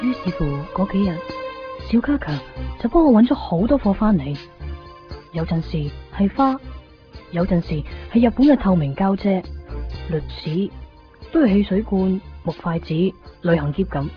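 A woman's voice: medium pitch, lively intonation, normal speed, natural voice. An actress with a Hong Kong accent playing a wistful, devoted young woman searching for someone in a radio drama. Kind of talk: radio drama first-person narration and dialogue. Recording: dull 1990s radio recording, faint music.

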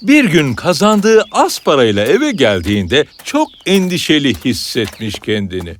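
Very animated male storyteller. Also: fairly high pitch, unhurried, a little hiss or hum. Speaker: storyteller, narrator. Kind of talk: scripted fairy tale narration for children. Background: background music.

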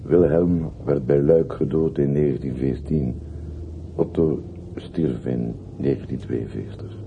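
A man's voice: very deep voice, slow, gravelly, full character voice. An actor playing a plain-spoken, deferential working man in a radio play, politely and earnestly insistent, on an old recording. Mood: earnest, confiding. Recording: noisy, muffled, phone-like old radio recording.

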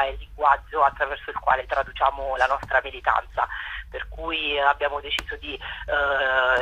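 Low, bright husky woman on the phone. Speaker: theatre collective member, radio guest. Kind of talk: informal radio interview. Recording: tinny telephone line.

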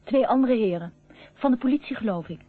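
A woman's voice: fairly high pitch, lively intonation, a little fast, breathy edge, faint music behind. A woman acting in a radio play as a polite office secretary. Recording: muffled, narrow-band old radio recording.

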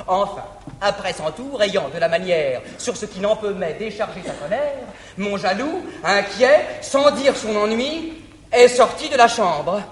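A man's voice: very high-pitched, a bit bright, slight background noise. An actor playing an eager young male lover, telling his adventure in verse with breathless laughter, in an old theatre recording. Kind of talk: declaimed verse stage acting. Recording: echoey 1959 theatre recording.

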